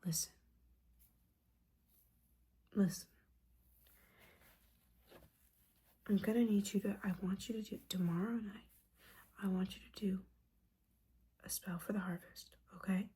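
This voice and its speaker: A woman playing a disappointed, judgmental but caring witch mentor in a whispered, softly spoken ASMR roleplay, scolding with sassy, casual asides. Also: medium pitch, steady tone, moderate pace, pauses often, breathy edge. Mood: disappointed, nagging.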